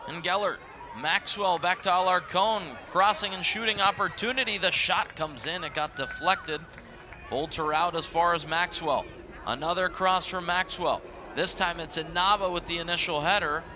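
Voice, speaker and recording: Man, slightly high voice, live play-by-play commentary, clear background stadium noise. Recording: noisy live stadium broadcast. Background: stadium ambience.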